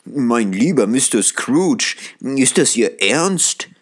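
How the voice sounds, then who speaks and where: A man voicing a stout, kindly old gentleman who falters in astonishment. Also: medium pitch, big pitch swings, takes it slowly, breathy edge, voice pushed up, full character voice. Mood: astonished.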